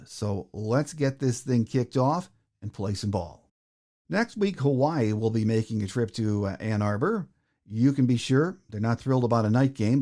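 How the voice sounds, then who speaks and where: A man with an American accent hosts a sports podcast. His voice is mellow and warm, at a middling pitch, as he walks listeners through the game preview. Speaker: podcast host.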